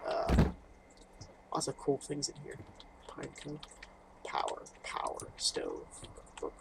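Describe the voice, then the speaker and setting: A man's voice: mid-range voice, wildly swooping pitch, slow, vocal fry, background noise. A man hosting a gaming video, casually reading game text aloud and reacting with informal, muttered asides. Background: game music.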